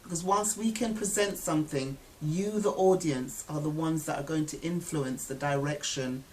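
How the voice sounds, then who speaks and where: Deep-voiced woman presenter, measured delivery. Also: steady tone, poor audio. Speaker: interviewer and presenter. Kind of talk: prompting and appealing to listeners.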